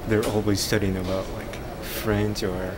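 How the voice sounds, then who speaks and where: A man's voice: fairly low pitch, flat delivery, unhurried, heavy background noise. A man speaking casually and bluntly, with frequent "uh" fillers. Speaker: interviewee. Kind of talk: casual conversation.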